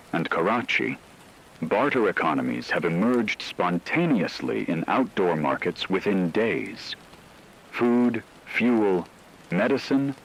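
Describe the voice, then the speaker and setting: A man reading a scripted emergency news bulletin in a grave, measured, formal way, as a radio announcer. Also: sits low, a bit bright, a little hiss or hum, low-quality recording.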